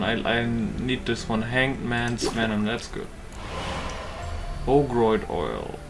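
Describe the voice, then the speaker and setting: A man's voice: mid-range voice, low-quality recording. A man giving casual gameplay commentary, thinking aloud as he hosts a gaming video. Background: game music.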